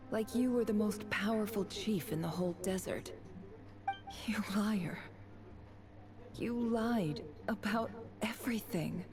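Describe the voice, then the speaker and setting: An actress playing the bitter, self-possessed daughter of a desert chief in a video game, speaking in a deliberate, cutting, controlled way. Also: female voice, medium pitch. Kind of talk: dramatic video game monologue. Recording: music behind.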